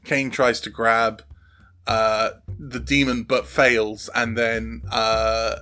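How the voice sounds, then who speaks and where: A man speaking casually as an interview guest, explaining his creative work haltingly and repeating words as he goes. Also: medium pitch, flat delivery, sharp tone. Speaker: audio drama sound designer, podcast guest.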